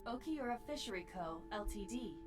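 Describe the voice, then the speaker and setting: An actress playing a brisk, matter-of-fact female AI partner in a video game. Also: mid-range voice, animated, natural voice. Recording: distant game audio over music.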